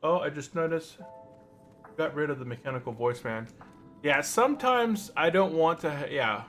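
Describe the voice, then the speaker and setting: A man's voice: on the high side, lively intonation, moderate pace, a bit bright, faint music behind. A man chatting casually on a gaming livestream, rambling and thinking aloud as he plays. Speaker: livestream host, gamer.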